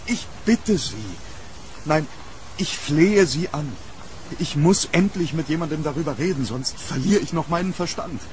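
An actor playing a troubled, courteous gentleman archaeologist haunted by his past, speaking formally and broodingly in an audio drama. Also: fairly high pitch, animated, unhurried, slight background noise. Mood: urgent pleading. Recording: rainy street ambience, thunder.

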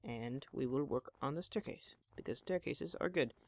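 A hoarse teenage boy in his mid-teens, with occasional voice cracks, chatting casually while playing a building game at home. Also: mid-range voice, normal speed, no echo. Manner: rambling, chatty, self-deprecating. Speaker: Minecraft gameplay channel host. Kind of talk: casual gameplay commentary.

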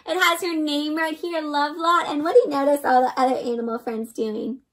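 A young woman reading a storybook aloud to children in an expressive, interactive way, often putting questions to the listener. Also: high-pitched, fairly quick, faint music behind. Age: young adult. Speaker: children's read-aloud host. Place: indoor room.

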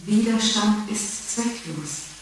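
A woman's voice: medium pitch, slow pace, a little hiss or hum, lightly acted. An actress playing a stern, cold female robot enforcer making formulaic announcements in a science fiction radio play. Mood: cold warning. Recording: distant, strongly echoing, music behind.

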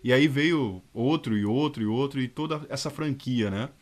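A man talks in a lively, chatty way, pitch rising and falling as he reminisces. His voice sits at an ordinary middle height, recorded indoors in a dark room. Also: moderate pace. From Rio de Janeiro state, Brazil. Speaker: fan dub voice actor. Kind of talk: casual nostalgic testimonial.

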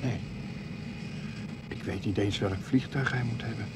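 Actor as defensive agent amid rumble. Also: male voice, a little slow, natural voice. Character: male surveillance agent, defensive about losing his target. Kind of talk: Dutch radio drama acting. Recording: clear rumbling vehicle noise behind.

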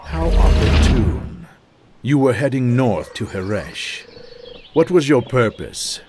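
An actor playing a stern, pious necromancer lord in a fantasy game, with pompous, measured, archaic speech. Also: male voice, mid-range voice, sing-song, heavy background noise. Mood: menacing, haughty. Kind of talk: dramatic fantasy game dialogue. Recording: loud music under the voice.

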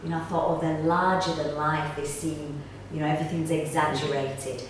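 A woman's voice: sits low, steady tone, moderate pace. A middle-aged woman, chatting informally in a casual interview. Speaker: pantomime actor. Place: small indoor room, seated on sofa. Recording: echoey room, distant mic, faint noise.

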